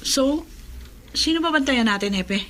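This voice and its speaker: A woman's voice: high-pitched, expressive, unhurried, very noisy. A woman playing an earnest, questioning police officer in a horror radio drama.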